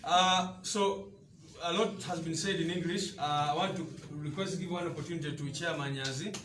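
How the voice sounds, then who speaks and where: Man, fairly high voice, moderator, echoey room. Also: moderate pace, fairly bright tone, far from the mic. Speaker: press conference moderator. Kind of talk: moderating a press conference. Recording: echoey room picked up from afar, noisy.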